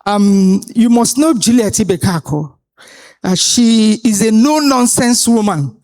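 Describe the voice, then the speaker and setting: Female panel moderator, measured and authoritative, at a conference. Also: a little high, expressive, a little mellow. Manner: deliberate, authoritative delivery with pauses. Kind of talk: introducing panelists at a live conference. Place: conference hall stage.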